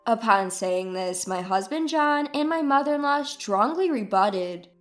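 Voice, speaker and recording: A woman's voice: a little high, lively intonation, unhurried, faint music behind. A woman reading a scripted first-person story as its narrator.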